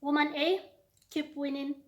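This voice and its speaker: A woman's voice: high voice, level tone, a little slow. A young woman from Kenya with a Kenyan accent, talking straight to camera and giving emphatic advice in a vlog, often repeating lines for weight. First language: not English. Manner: emphatic, repeats lines for effect, acts out quoted people. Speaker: YouTuber.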